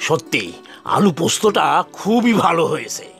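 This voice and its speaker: A man's voice: a little high, big pitch swings, normal speed. A man voicing a kindly, encouraging older father-in-law in a family cartoon, coaxing and reassuring. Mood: approving. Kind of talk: animated family cartoon dialogue. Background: soft background music.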